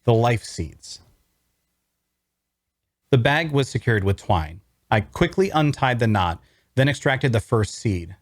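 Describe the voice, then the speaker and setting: A man's voice: mid-range voice, very animated, takes it slowly, long pauses, no echo, studio quality. A man playing a wry necromancer who narrates his own adventure, reading scripted first-person prose with dramatic storytelling. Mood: urgent, grim.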